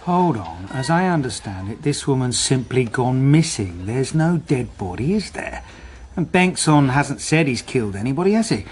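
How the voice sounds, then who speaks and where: Measured British police superintendent male actor, mid-pitched, fair-minded, questioning, radio drama with faint noise. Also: sing-song, darkish tone, close to an everyday voice. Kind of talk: naturalistic radio drama acting.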